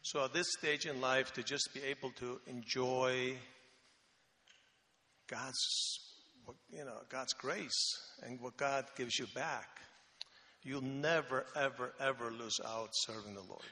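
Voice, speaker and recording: Older man in echoey church. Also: medium pitch. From Faroe Islands. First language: Faroese. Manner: relaxed, joking, with many pauses and fillers. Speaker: missionary Bible translation consultant. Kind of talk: guest interview at church. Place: church sanctuary. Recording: reverberant church hall.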